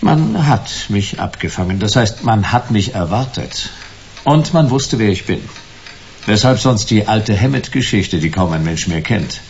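A man's voice: fairly low pitch, lively intonation, measured pace, creaky, highs cut off, a little hiss or hum. An actor playing a stubborn, hard-boiled private detective narrating his case laconically in a noir radio play. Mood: dry, insistent. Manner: laconic, dry, clipped sentences.

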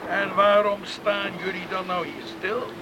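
Officious committee man, high voice. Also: flat delivery, a little slow. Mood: reproachful, probing. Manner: questioning, reproachful. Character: officious rescue committee man, critical and probing. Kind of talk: radio drama acting. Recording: loud wind and sea noise.

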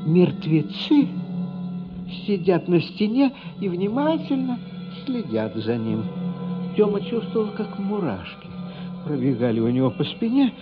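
A man with a slightly high voice narrates a radio drama. He reads slowly, with measured suspense and dramatic weight. The recording is somewhat dull, with faint music behind him. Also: big pitch swings. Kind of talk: dramatic scripted narration of a literary radio play. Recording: dull, band-limited radio play recording.

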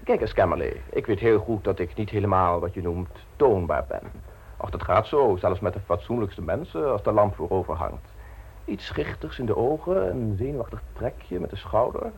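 An actor playing a suave, insolent blackmailer in a radio play, mockingly polite and smoothly domineering. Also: male voice, fairly low pitch, expressive, normal speed, a little mellow. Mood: smug, teasing. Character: suave, insolent male blackmailer installing himself as private secretary.

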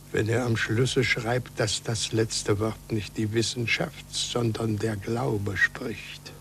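An actor playing a weary, irritable, imperious Pope in a 1940s German radio drama, speaking in a measured, testy way. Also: male voice, lowish voice, a little hiss or hum. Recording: old 1940s radio recording.

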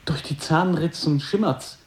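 A woman's voice: low-pitched, a little slow, a little mellow. An actress playing an alarmed woman cautioning a reckless companion in an experimental radio play. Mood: anxious, cautioning. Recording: roomy echo on voice.

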